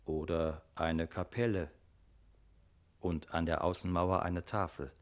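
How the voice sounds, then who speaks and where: An actor playing a sober, reflective narrator who tells a literary radio tale in a deliberate, thoughtful manner. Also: male voice, low voice, steady tone. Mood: grave, musing.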